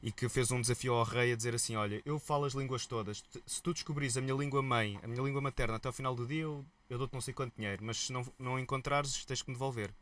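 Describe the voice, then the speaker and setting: A man with a European Portuguese accent, a musician guest telling stories in a relaxed, colloquial interview chat. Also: medium pitch, quick pace, few pauses. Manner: lively storyteller, relaxed and colloquial.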